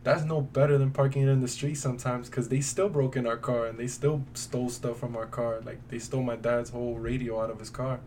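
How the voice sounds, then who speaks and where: A man's voice: mid-range voice, fairly even intonation, a little fast, hardly any pauses, a little mellow. A man chatting casually as a podcast co-host, speaking in an informal, emphatic, colloquial way. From New York City. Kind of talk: casual conversational podcast chat. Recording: distant mic, faint background noise.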